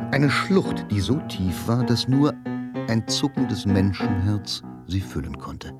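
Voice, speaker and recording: An actor narrating a radio drama as a grave, literary storyteller with a solemn, dramatic manner. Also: male voice, fairly low pitch. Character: grave, literary male narrator of a crime tale.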